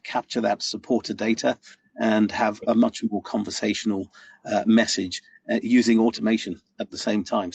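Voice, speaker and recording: A man's voice: fairly low pitch, vocal fry, darkish tone, husky, dry recording, far from the mic. A man presenting slides at an online conference, talking steadily with frequent "uh" fillers. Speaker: webinar presenter.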